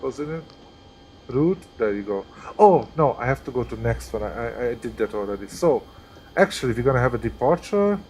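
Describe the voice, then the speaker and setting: A man's voice: medium pitch, animated, normal speed, darkish tone, a little hiss or hum. A man with a Hungarian accent, streaming live and commenting casually as he thinks aloud through each step. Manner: chatty, thinks aloud while working through steps. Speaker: flight sim streamer.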